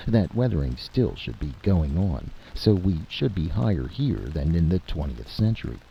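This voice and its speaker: A man acts a blunt, skeptical adventurer in a story. He argues matter-of-factly in a low, animated voice over a dull, faintly noisy recording. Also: normal speed, creaky, exaggerated voice. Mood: reasoning. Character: skeptical male adventurer, blunt, argumentative and practical.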